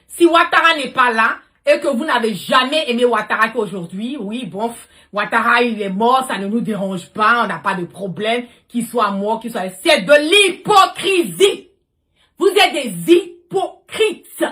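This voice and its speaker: Female livestream host, very animated pitch, forceful and confrontational political rant with heavy emphasis. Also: measured pace. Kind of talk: impassioned political rant to followers.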